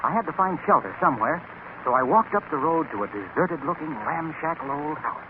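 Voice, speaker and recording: A man's voice: a little high, lively intonation, brisk, natural voice. A man playing a genial announcer in a 1930s radio comedy, speaking in a polished storytelling and salesman style. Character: genial announcer spinning a tale into a sales pitch. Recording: tinny, noisy 1930s radio recording.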